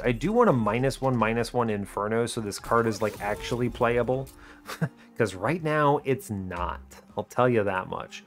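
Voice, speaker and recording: A man with a medium-pitched voice talks through his moves as a gaming host. His commentary is casual and colloquial, with short wry asides as he reasons aloud. Game music plays faintly behind him. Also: fairly bright tone.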